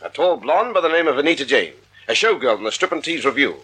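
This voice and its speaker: Cocksure police chief, brusque, fairly high raspy voice, punchy and forceful, old radio crime drama. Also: male voice, very animated, quick pace, full character voice. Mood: brusque, certain. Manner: emphatic, no-nonsense. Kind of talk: 1940s radio acting. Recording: old radio broadcast recording.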